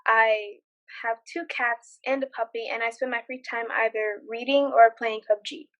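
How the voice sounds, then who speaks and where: A woman's voice: a little high, fairly even intonation, moderate pace, a bit bright, highs cut off, natural voice. A young woman playing an outspoken young paralegal in a student stage drama, with a sarcastic, firm way of talking. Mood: polite, guarded. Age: young adult. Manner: sarcastic, firmly lecturing.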